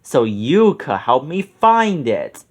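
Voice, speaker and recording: A sinister storybook villain, put on by a man reading aloud, growling his lines low and drawn out, each word weighted with threat. The delivery swings widely in pitch as he gloats. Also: very animated. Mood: menacing. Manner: low, slow, growling menace. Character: sinister scheming adult male villain.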